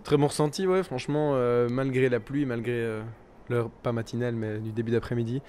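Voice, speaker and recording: A young musician from Belgium answering interview questions in quick, chatty explanations. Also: male voice, mid-range voice, steady tone, a little mellow, slight background noise. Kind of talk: festival interview.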